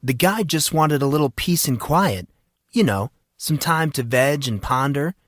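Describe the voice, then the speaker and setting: A man's voice: fairly high pitch, lively intonation, a little slow, dry recording, clean studio sound. A man hosting a podcast, giving a breezy, informal scripted introduction. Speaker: podcast host. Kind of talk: casual scripted intro and recap. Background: music.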